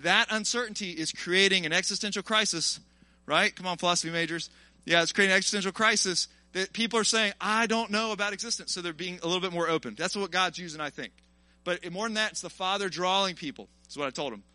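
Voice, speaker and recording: Male preacher, early 40s, high forceful voice, colloquial and exhorting, informal live sermon. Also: quick pace, dry recording. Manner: animated, colloquial, exhorting the listeners. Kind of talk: informal sermon to a live congregation, with personal testimony.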